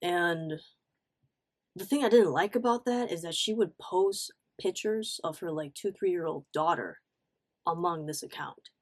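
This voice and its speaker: A woman telling a casual story-time vlog in a blunt, slangy, animated way, with frequent conversational asides. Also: fairly low pitch, measured pace. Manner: animated, blunt, swearing, acts out quotes. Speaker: online storyteller.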